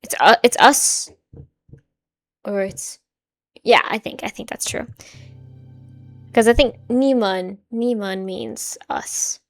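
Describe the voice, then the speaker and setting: A young adult woman whose native language is Swedish, hosting a reaction video in casual, musing commentary that often trails off quietly. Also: on the high side, slow pace, vocal fry, long pauses, soft background music. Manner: musing, hedging, soft-spoken with frequent quiet trailing asides.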